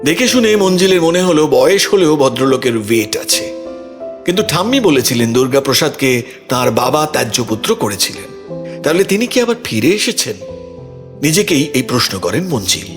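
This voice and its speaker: A man's voice: mid-range voice, sing-song, normal speed. A man reading scripted narration for a suspense audio story as its narrator. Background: background music.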